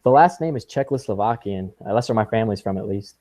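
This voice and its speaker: Mellow-voiced young man, dry sound. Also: fairly low pitch, animated, fast talker, no room sound. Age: about 20. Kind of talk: casual chat.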